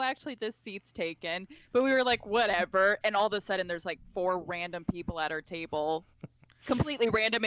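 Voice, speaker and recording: Animated young woman telling stories. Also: mid-range voice, clean tone, no echo, low-quality recording. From California. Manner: animated storyteller, acts out quoted lines. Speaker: podcast guest. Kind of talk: casual chat.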